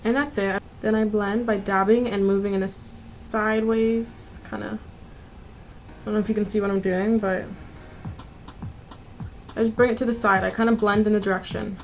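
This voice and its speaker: A woman's voice: mid-range voice, level tone, moderate pace, long pauses, slight background noise, rough recording. A woman in her twenties narrating a makeup tutorial in a casual, informal, conversational way as a beauty vlogger. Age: 20s. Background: background music.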